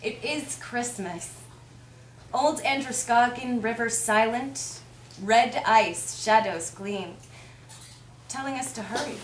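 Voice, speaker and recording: A woman giving a measured, reflective live reading of poetry. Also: fairly high pitch. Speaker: poem reader. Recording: echoey room with faint noise.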